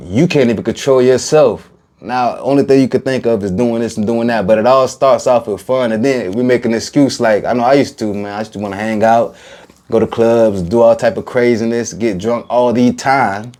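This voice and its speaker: A man's voice: mid-range voice, normal speed, a little mellow. A man hosting a recovery podcast, talking in a laid-back, casual way and dropping little rhymes. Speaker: podcast host.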